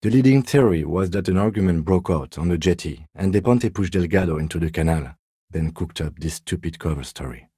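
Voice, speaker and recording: An actor playing a composed middle-aged police detective in a video game, speaking in a measured, formal, dryly polite way. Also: male voice, low-pitched, dark tone, husky, no room sound, clean studio sound. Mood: measured, serious. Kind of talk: dramatic game dialogue, separate voice lines.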